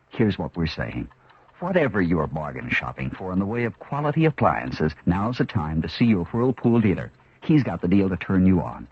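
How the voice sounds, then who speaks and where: A man delivering a 1970s radio advertising pitch with urgent salesmanship. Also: breathy edge. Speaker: commercial announcer. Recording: dull 1970s radio recording.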